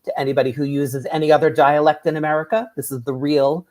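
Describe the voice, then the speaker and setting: New author explaining eagerly. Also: male voice, fairly high pitch, flat delivery, quick pace, a little mellow. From New York. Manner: enthusiastic, explanatory, tags "right?". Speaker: author and drag performer, guest. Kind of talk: radio interview.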